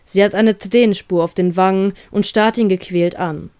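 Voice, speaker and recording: A woman's voice: mid-range voice, steady tone, normal speed, a little mellow, clean tone, no room sound, studio quality. A woman reading a scripted story aloud as its narrator, in a dramatic storytelling style. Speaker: narrator of fan fiction audio story.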